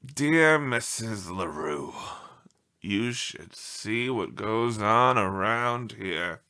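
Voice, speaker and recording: A man plays a melodramatic storybook dog, indignant and sorry for himself. The delivery swings widely in pitch, slow and drawn out, with a bright, forceful edge. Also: expressive, exaggerated voice. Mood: put-upon, dramatic. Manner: theatrical, indignant, self-pitying. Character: aggrieved melodramatic pet dog writing pleading letters home. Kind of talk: expressive storybook read-aloud.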